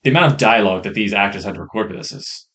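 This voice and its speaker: A man co-hosting a podcast, reviewing games in a casual, enthusiastic ramble on a rough-sounding mic. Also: fairly low pitch, racing, a bit bright. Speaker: podcast co-host. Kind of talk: casual chat, game review.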